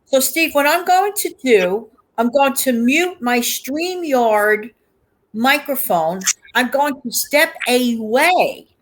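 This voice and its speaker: A woman's voice: high voice, lively intonation, slow pace, fairly bright tone, slight background noise, rough recording. A woman hosting a livestream in casual chat, repeating words for emphasis. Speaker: host of a livestream.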